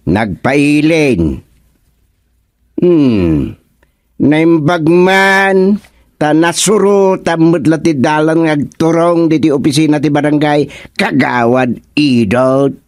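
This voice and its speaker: Man playing a slow, stern village official. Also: a little high, lively intonation, no room sound, clean studio sound. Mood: stern, sarcastic. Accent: Filipino. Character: stern, sarcastic village official scolding his subordinate. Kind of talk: comedic radio drama acting.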